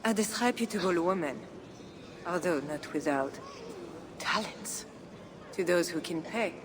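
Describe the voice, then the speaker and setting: An actress playing a composed, compassionate medieval Frankish queen in a video game, speaking in a measured, formal, pious way. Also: on the low side, expressive, background noise. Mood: guarded. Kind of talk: dramatic video game acting.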